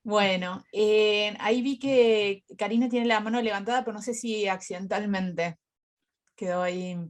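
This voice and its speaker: A woman's voice: medium pitch, steady tone, unhurried. Female conference moderator, mid-range voice, informal linking remarks on a video call. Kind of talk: informal moderating between presentations.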